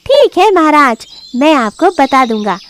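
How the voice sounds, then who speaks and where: A woman voicing a sly, flattering fox in an animated moral story, with a wheedling sing-song delivery. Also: high voice, unhurried, slight background noise, pitched above the performer's own voice. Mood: scheming. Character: sly, flattering fox advisor to a lion king.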